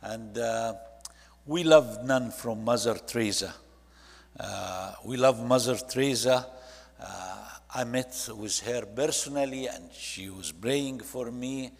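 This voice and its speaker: A man's voice: mid-range voice, creaky. Egyptian man presenting in a reverberant hall. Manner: halting, with short phrases and non-native English grammar. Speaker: church ministry leader presenting to a congregation. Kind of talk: presentation talk narrating photo slides.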